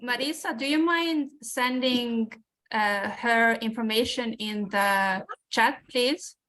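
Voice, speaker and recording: A woman's voice: a little high, takes it slowly, a bit bright, low-quality recording. A woman presenting an informational webinar over a video call, explaining things with frequent restarts and fillers.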